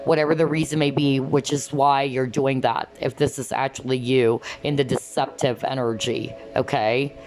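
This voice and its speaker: A woman giving an earnest tarot card reading, often asking "okay?" as she talks, and her voice is going hoarse. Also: very deep voice, fairly even intonation, normal speed, hardly any pauses, music in the background, rough recording. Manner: earnest, repeats "okay?" to viewers. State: losing her voice. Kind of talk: pick-a-card reading to camera.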